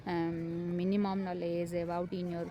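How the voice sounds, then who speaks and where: A woman's voice: on the low side, flat delivery, normal speed, dull audio, slight background noise. A woman whose first language is not English gives unscripted learning tips as a homemaker, hesitant and encouraging, with many fillers and restarts. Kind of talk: unscripted motivational talk to camera. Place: home.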